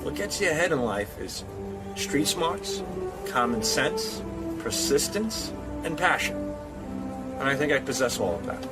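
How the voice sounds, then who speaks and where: Loud music plays behind a man with a medium-pitched voice. He answers interview questions casually, sounding self-assured and ready to joke. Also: big pitch swings, unhurried. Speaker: radio show staff member.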